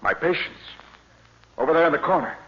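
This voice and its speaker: An actor playing a frantic doctor resisting an alien's mind control in a melodramatic 1950s radio drama. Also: male voice, a little high, husky. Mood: alarmed. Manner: melodramatic, halting, exclaiming. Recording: muffled, noisy old 1950 radio recording.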